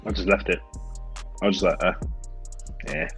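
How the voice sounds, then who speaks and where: A man's voice: sits low, flat delivery, moderate pace, a bit bright, faint music behind. A young man, chatting casually as a podcast co-host and often restarting his points. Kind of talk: casual chat.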